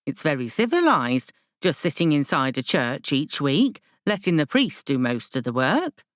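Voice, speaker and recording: A woman's voice: deep voice, sing-song, moderate pace, no echo, studio quality. A woman reading an audiobook, voicing a blunt, grumbling woman learner who questions things in a colloquial, querulous way. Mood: grumbling, reluctant. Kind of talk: audiobook character dialogue.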